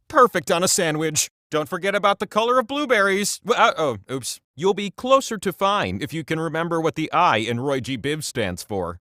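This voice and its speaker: A man's voice: fairly high pitch, fairly bright tone, no echo, clean studio sound. A man voicing a cheeky, peevish talking wheel who hosts a comedic video game quiz with theatrical, punchy delivery and sardonic asides. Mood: playful, teasing. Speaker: quiz show host.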